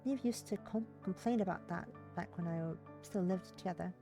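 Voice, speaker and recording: A woman's voice: fairly low pitch, moderate pace, creaky, music in the background. A woman livestreamer reading a visual novel's narration aloud, stumbling over words and rereading phrases, with brief casual asides.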